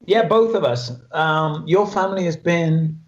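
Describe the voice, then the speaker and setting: High-voiced easygoing male guest, lo-fi audio. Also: a little slow, roomy sound. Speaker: guest musician. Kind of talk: casual podcast interview.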